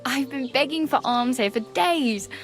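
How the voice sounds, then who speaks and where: A plucky young wandering beggar woman from a medieval video game. Her voice sits on the high side and her talk is rustic, wry and teasing. Faint music plays underneath. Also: expressive, normal speed. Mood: wry, upbeat. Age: young adult. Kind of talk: video game dialogue acting. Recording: background music under the dialogue.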